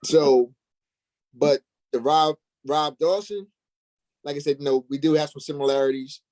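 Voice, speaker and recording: A man's voice: on the high side, fairly even intonation, normal speed, darkish tone, no echo, rough recording. A man speaking as an interview guest and stage actor, telling his experiences in a casual, conversational way.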